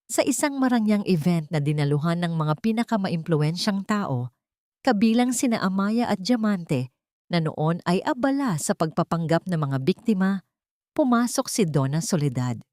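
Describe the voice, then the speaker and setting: Female narrator, deep register, dry close mic, solemn audiobook delivery. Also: very animated, normal speed, a little mellow, no room sound.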